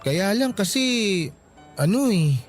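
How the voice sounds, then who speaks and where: A man's voice: sits high, sing-song, takes it slowly, faint music behind, heavily acted. A man acting a hesitant, concerned young student friend in a radio drama, with a Filipino accent. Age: young adult.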